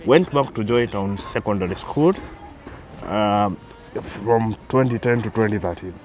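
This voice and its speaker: Low-voiced kenyan man telling his story. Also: a little slow, darkish tone. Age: 30s. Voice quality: low voice, hesitant, repeats words at starts. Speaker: interview guest.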